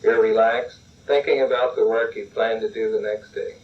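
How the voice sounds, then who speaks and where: Low-voiced man guiding relaxation. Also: moderate pace, a little hiss or hum. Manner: calm, instructive, repeatedly urging relaxation. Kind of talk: guided relaxation and role-play exercise. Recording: dull lo-fi recording from a distance.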